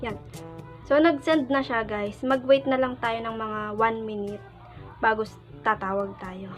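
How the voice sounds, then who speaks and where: High-pitched Filipino woman vlogging. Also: a little slow, soft background music. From Philippines. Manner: animated, giggly, informal. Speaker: vlogger, prank caller. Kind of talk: casual chatty vlog.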